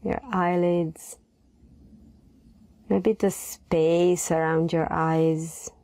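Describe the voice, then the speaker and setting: A woman leading a guided meditation in a soft, gentle voice, unhurried and pausing between phrases. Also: lowish voice, level tone, dry recording.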